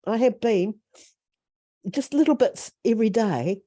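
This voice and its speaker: A woman's voice: on the high side, expressive, a little slow, mellow, no room sound, studio quality. A woman sharing chattily and self-deprecatingly in a group video call. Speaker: group participant.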